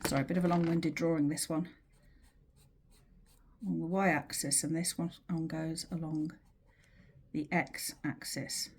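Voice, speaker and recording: A woman teaching in an informal, explanatory way, with measured, emphatic phrasing and pauses as she talks through a drawing. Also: low voice, fairly even intonation, a little mellow, a little hiss or hum. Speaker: teacher of earth energy dowsing.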